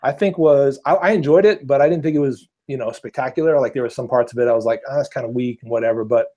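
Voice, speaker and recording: A man in his thirties on an earbud mic over a video call, explaining things in a relaxed, measured way as a podcast guest. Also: mid-range voice, muffled recording. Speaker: podcast guest, gun channel creator. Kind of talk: casual podcast chat. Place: small room at home, indoors.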